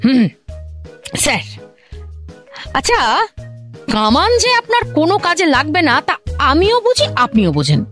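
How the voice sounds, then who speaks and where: A high-pitched, officious lady bureaucrat. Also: huge pitch swings, normal speed, long pauses, fairly bright tone, soft background music, exaggerated voice. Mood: interrogating. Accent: Bengali from West Bengal. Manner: officious, emphatic, pedantic. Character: officious, interrupting female government officer, eager interrogator. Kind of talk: radio play acting.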